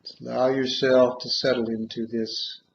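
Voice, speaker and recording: A man guiding a meditation in measured short phrases with long pauses, on a dull, muffled recording. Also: medium pitch, level tone, vocal fry, slight background noise. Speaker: guided meditation leader. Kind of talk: guided meditation, slow soothing instruction.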